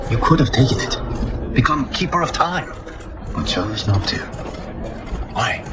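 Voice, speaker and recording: An actor playing a noble, burdened god and protector in a video game, speaking gravely and formally. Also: male voice, mid-range voice, expressive, a little slow, heavy background noise, close to an everyday voice. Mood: earnest, grateful. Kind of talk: video game cinematic acting. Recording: music behind the voice. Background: music.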